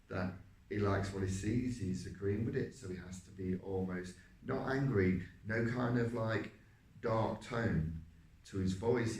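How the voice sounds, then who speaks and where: Low deep-voiced guy, lots of uhs, kinda far off the mic. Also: a little mellow. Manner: hesitant, many fillers. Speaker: stage actor. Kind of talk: talking about a stage role. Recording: roomy, from a distance.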